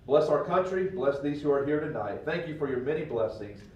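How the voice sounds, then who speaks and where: A man leads a community meeting in earnest, reverent prayer, his tone dark and mellow. The sound is dull and lo-fi, caught from far away in a hall that echoes heavily, with a faint noise underneath. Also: a little high, lively intonation, fairly quick. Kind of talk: spoken prayer.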